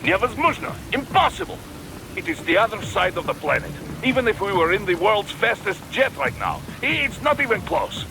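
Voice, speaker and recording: An actor playing an excitable male support operator, reacting quickly and nervously. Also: high-pitched, rough recording. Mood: worried. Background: music.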